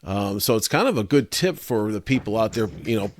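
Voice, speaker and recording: A man co-hosting a radio show, asking questions in a halting, casual way with many restarts. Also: fairly low pitch, expressive. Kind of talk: casual interview chat.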